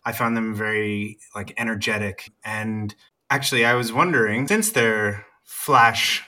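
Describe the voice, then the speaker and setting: A man hosts a relaxed interview with a bright, forward tone. He keeps his guests going with short, encouraging affirmations and asks his questions in an easy, casual way. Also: lowish voice, unhurried. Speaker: interview host.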